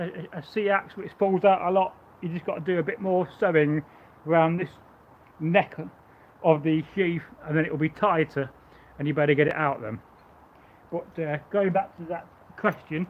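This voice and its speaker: A man live-streaming as a Viking reenactor, chatting loosely and stammering through restarts with a loud voice, outdoors at home. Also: on the high side, phone-quality sound, slight background noise. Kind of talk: casual live stream chat and demonstration.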